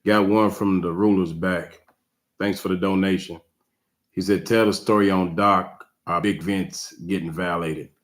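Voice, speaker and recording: A man's voice: low-pitched, fairly even intonation, a little slow. A man talking casually as an interviewer, prompting his guest and passing on requests. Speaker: interviewer reading viewer requests. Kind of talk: casual chat.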